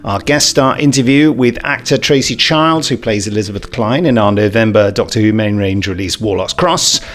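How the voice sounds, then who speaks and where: A man's voice: lowish voice, moderate pace, a bit bright. A British man hosting a podcast with jokey, self-deprecating, rambling casual chat. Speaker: podcast host. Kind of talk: unscripted casual banter.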